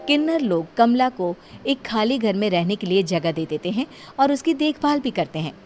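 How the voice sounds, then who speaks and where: A woman's voice: medium pitch, expressive, normal speed, a little mellow. Woman narrating a story over music. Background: background music.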